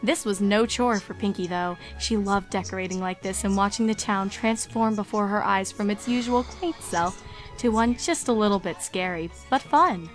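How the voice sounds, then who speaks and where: A woman's voice: medium pitch, moderate pace, faint music behind. A woman narrator reading a scripted story in storybook style.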